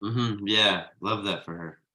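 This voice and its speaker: A man's voice: on the low side, measured pace, sharp tone. A man reading the part of a forbearing, drily sarcastic husband and father in a conversational comedy table read, with roomy echo. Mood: dry. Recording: roomy, echoey online video call.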